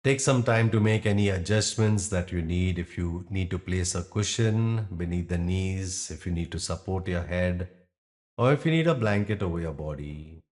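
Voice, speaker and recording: A man guiding a yoga nidra meditation in a soothing, instructional manner with pauses between phrases. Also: sits low, animated, darkish tone. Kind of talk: guided meditation narration.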